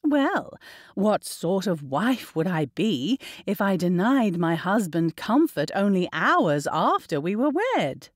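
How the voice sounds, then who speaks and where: A woman reading an audiobook, voicing a spirited young bride with a lively, playful manner. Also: mid-range voice, slow, no echo, clean studio sound. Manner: lively, playful, indignant at times.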